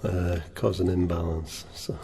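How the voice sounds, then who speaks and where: A soft-spoken man teaching a guitar lesson, unhurried, pausing often with ums and uhs. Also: deep voice, little pitch movement, gravelly, a little hiss or hum. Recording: reverberant room with guitar playing.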